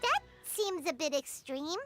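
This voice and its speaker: An actress voicing a tiny, childlike fairy companion in a video game, with a squeaky voice, curious and chatty. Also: female voice, expressive, a little slow. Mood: doubtful. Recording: game audio with prominent music.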